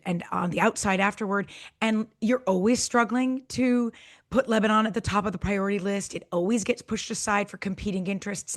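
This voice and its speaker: Podcast cohost lady, kinda hoarse and raspy today, earnest, talks it through clearly. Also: mid-range voice. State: slightly hoarse, voice partly lost. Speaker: podcast co-host. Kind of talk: conversational podcast interview.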